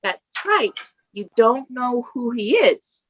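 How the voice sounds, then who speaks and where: Woman acting a slow, sharp, high-voiced menacing schoolteacher. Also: very animated, no echo, highs cut off, heavily acted. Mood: taunting accusation. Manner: slow, deliberate, accusatory. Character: menacing female substitute teacher, secretly a winged monster. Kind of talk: musical theatre table read.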